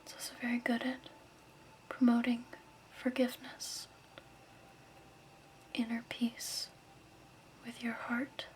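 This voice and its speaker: A woman playing a gentle, soothing crystal shop keeper in a hushed, patient ASMR roleplay whisper. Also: fairly high pitch, completely flat delivery, takes it slowly, long pauses. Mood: gentle, explaining.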